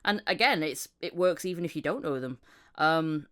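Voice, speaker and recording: Low-voiced woman hosting a casual podcast. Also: lively intonation, normal speed, fairly bright tone. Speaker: podcast host, voice actor. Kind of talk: casual interview chat.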